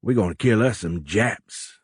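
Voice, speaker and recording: A man's voice: on the low side, lively intonation, measured pace, husky, no echo, clean studio sound. A man voicing a big, boisterous, domineering submarine captain with a Cajun accent and a folksy, blustering drawl in an audiobook. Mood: boisterous, eager.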